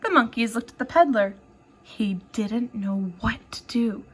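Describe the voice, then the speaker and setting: Slow, expressive woman reading a storybook. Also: a little high, breathy edge. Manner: expressive, deliberate, pausing to ask children questions. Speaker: elementary teacher reading aloud. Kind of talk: children's storybook read-aloud.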